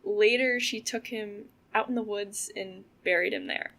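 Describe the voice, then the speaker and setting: Girl playing young woman telling an eerie story in an audio drama, medium pitch, lots of vocal fry. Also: steady tone, measured pace, a bit bright, a little hiss or hum. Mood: measured, eerie storytelling. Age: young adult. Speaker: student audio drama actor.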